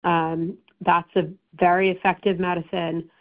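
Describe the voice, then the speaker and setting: Hoarse woman doctor on a tinny line. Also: lowish voice, little pitch movement, unhurried. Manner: informative, many ums and restarts. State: hoarse, recovering. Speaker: breast oncologist presenting. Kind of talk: medical presentation to patients. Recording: tinny phone-line audio.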